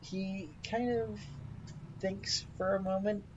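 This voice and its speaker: A man's voice: high voice, level tone, slow, dull audio, background noise. A man running a tabletop game as game master, narrating casually and improvising as he searches for words.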